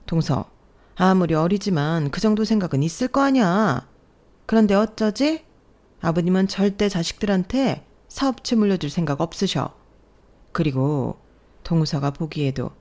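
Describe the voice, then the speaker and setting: A woman's voice: on the low side, a little mellow, close to an everyday voice. A woman doing sneering snobbish sisters-in-law. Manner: mocking, condescending, needling. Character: haughty sneering older sisters-in-law, women.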